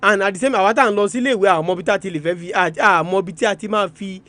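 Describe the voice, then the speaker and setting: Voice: medium pitch, very fast, fairly bright tone. A radio presenter with a Nigerian Yoruba accent gives an educational talk in a didactic manner, repeating and restating points for emphasis. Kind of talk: educational radio talk, switching between languages.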